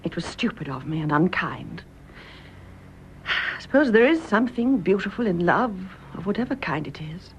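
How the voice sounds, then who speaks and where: An actress playing a proud, emotional young British wife in a radio drama, well-bred and expressive, with a breathy voice. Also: female voice, on the low side, moderate pace, slight background noise. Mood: contrite, gentle. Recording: dull, muffled radio drama recording.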